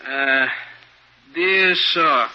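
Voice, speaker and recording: An actor playing a simple, lovestruck clerk with a plaintive, slangy manner, in 1950s radio style. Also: male voice, on the high side, extremely slow, bright, forceful tone, exaggerated voice. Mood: glum, pleading. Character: simple, lovestruck, uneducated male shipping clerk. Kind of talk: 1950s radio comedy-drama acting. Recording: muffled 1950s radio recording.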